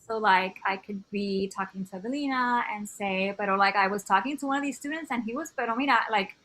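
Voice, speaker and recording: A woman's voice: medium pitch, fast talker, bright, forceful tone, a little hiss or hum, low-quality recording. A chatty woman from Miami talks on a video call, her speech loose and filler-heavy. She drifts in and out of Spanish words mid-sentence as she explains things. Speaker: author guest. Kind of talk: casual conversation on a video call.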